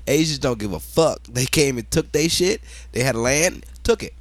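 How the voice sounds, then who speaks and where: A man's voice: mid-range voice, normal speed, dry recording. A man chatting casually as a podcast co-host, telling stories in slangy, profane language. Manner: slangy, profane, animated retelling with quoted asides. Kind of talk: casual chat, storytelling.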